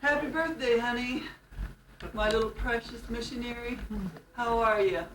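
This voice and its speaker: Brief: older grandmother, warm teasing interjections, faint background noise, roomy distant sound. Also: a little high, moderate pace. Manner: affectionate, teasing, chiming in. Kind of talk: casual home video message. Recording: echoey room, off-mic, faint noise.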